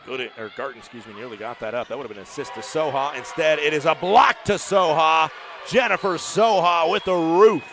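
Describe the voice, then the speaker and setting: A man's voice: fairly high pitch, brisk, a crowd in the background. Man calling volleyball play-by-play. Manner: rises to shouts on big plays. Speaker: play-by-play commentator. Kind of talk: live volleyball play-by-play commentary.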